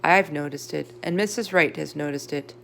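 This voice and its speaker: Woman doing lady teacher in a kids story, firm but caring, low with some fry. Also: normal speed, a bit bright, a little hiss or hum. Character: firm, caring female schoolteacher. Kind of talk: children's storybook character voice.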